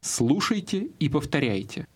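Male lesson narrator, slightly husky, lively pitch, clear guiding prompts. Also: unhurried. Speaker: language course instructor. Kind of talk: scripted instruction.

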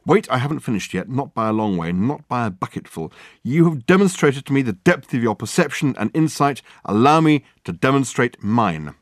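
A man voicing a pompous, excitable male detective in an audiobook, with grandiloquent, emphatic and self-satisfied delivery. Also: mid-range voice, normal speed, no echo, studio quality, pitched above the performer's own voice, natural voice. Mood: triumphant, excited. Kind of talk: acted audiobook dialogue.

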